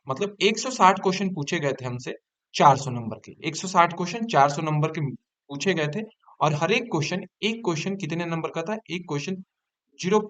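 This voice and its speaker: A man's voice: on the high side, a bit bright. A man giving an informal explanation to exam candidates as an online presenter, repeating figures and key points for emphasis.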